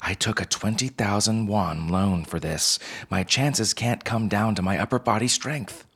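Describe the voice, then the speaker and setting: A man's voice: fairly low pitch, measured pace, fairly bright tone, breathy edge, pitched above the performer's own voice, heavily acted. A man voicing an eager, nervous young woman in a story, earnest and a little hesitant. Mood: pleading.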